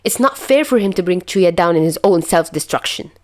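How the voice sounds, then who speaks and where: A woman's voice: on the low side, animated, brisk, lightly acted. A woman voicing an angry, protective teenage schoolboy with an indignant hiss, in an audio fan fiction. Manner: hissing, indignant.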